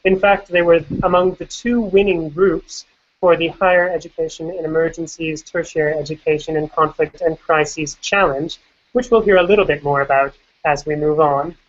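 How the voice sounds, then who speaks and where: Woman panel moderator, formal and organized, low voice, slightly dull sound. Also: steady tone, moderate pace. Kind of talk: hosting a panel. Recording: slightly muffled recording.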